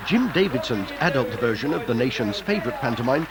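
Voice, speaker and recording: Lively British male narrator over music. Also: medium pitch, fast, a crowd in the background. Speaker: documentary narrator. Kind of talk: light-hearted scripted narration.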